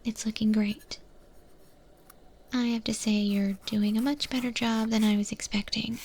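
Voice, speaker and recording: A woman voicing a friendly, encouraging eldritch monster chef in a breathy, gentle ASMR roleplay whisper. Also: a little high, flat delivery, a little slow. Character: friendly female eldritch monster chef training a new hire. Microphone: close ASMR microphone.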